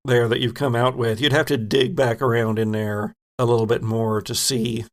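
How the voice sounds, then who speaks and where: A man narrating a tabletop game as game master, laid-back and wry, with pauses in his narration. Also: medium pitch, flat delivery.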